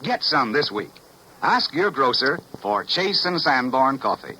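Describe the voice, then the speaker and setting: A bright, forceful American man comes through a faintly noisy 1940s radio recording. He reads an emphatic commercial, his medium-pitched voice rising and falling in an animated way. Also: unhurried. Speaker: commercial announcer.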